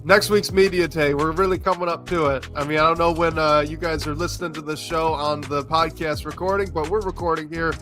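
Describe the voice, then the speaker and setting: A man hosting a casual sports podcast, upbeat and rambling, his voice gravelly from being sick. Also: on the high side, fairly even intonation, a little fast, few pauses, a bit bright, soft background music. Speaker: podcast host.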